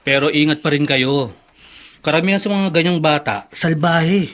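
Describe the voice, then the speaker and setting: Man as a wary, higher-voiced skeptic. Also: normal speed, a bit bright, lightly acted. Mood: wary, warning. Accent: Filipino. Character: suspicious, cautioning man, distrustful of street kids. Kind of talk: Filipino radio drama acting.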